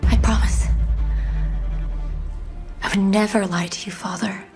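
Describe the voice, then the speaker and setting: An actress playing a sheltered young noblewoman, a meek but quietly sly daughter who speaks softly and hesitantly in an audio drama. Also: mid-range voice, steady tone, measured pace, music in the background, close to an everyday voice. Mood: pleading, sly. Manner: hesitant, soft, deferential. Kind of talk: dramatised audio fiction acting.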